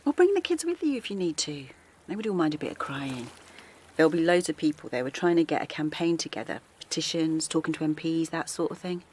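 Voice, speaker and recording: An actress playing a kindly middle-aged mother of two grown sons, chatty and reassuring, with a quiet worried edge. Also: low voice, big pitch swings, a little fast, slight background noise. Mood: warm reassuring. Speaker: campaigner. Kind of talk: naturalistic audio drama acting. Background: faint outdoor seaside ambience.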